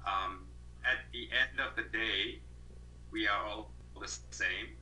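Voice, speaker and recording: A man's voice: on the low side, fairly even intonation, takes it slowly, very noisy. A man in his thirties from Malaysia, a guest on an interview over a video call, talking thoughtfully with many fillers and restarts.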